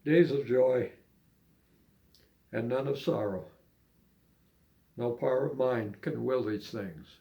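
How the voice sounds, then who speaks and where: Older man with a gravelly, creaky voice. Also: medium pitch, mellow. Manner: halting, unhurried delivery. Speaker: amateur poet and musician. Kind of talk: poetry reading and casual reminiscing.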